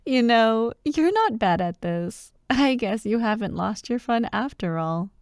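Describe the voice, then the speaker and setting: A woman playing an excitable, teasing young childhood friend who loves Halloween, in an ASMR roleplay addressed to the listener. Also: on the high side, measured pace, no room sound, studio quality. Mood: cheerful, teasing. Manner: playful, teasing, animated, with frequent giggles and mock drama.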